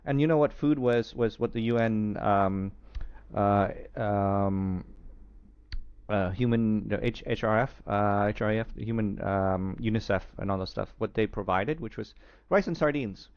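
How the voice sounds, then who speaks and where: A man's voice: lowish voice, measured pace, clear, resonant tone, no room sound, highs cut off. A man in his fifties from Vietnam with an American accent, a podcast guest recalling his past in a hesitant way, full of fillers and restarts. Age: 50s. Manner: hesitant, many fillers and restarts, light laughs. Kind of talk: reflective storytelling interview.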